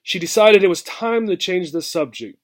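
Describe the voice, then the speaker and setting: A man reading a children's book aloud with expressive narration. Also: sits high, moderate pace.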